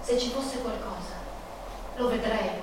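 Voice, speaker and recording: Woman acting suffering young Mexican woman, dramatic radio theatre monologue, slow deliberate delivery, roomy distant echo. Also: fairly high pitch, natural voice. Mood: bitter, melancholic. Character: Frida Kahlo, young Mexican woman in pain, bitter and intense. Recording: echoey, distant stage sound with loud music.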